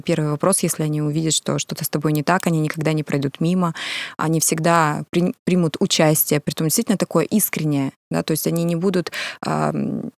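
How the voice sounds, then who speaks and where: A woman from Kamchatka in a casual interview, with a clear, ringing voice and brisk, chatty storytelling. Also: sits low, darkish tone, no room sound. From Kamchatka, Russia. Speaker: guest.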